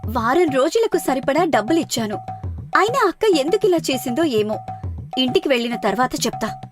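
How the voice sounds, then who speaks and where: A woman acts out a responsible young working sister in a moral story. The voice is high and the manner earnest and coaxing, with faint music behind. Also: big pitch swings, normal speed. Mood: puzzled, annoyed. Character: caring, responsible younger sister who works in an office.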